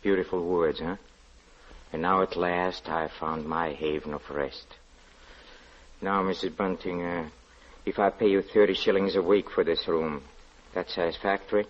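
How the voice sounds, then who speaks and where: An actor playing a strange, devout, weary lodger in a 1940s radio drama, halting and softly polite with a menace about sin. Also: male voice, low-pitched, fairly even intonation, natural voice. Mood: weary, apologetic. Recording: dull 1940s radio recording.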